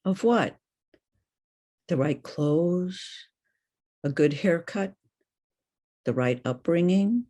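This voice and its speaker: Older woman, poetry reading, unhurried pace, deliberate, questioning delivery. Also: on the low side, animated, dark tone. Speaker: poet.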